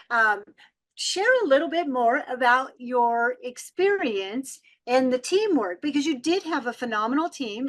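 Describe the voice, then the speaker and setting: Effusive woman hosting, higher-pitched voice. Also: fairly bright tone. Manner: effusive, appreciative. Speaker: webinar host. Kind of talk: casual hosting of an online Q&A.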